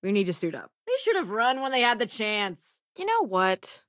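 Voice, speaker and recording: Sardonic young scientist crewmate, video game companion, wry, confident, casual, animated intonation, dry studio sound. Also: female voice, mid-range voice, moderate pace, dry recording. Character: sardonic, clever renegade scientist woman, spaceship crew companion.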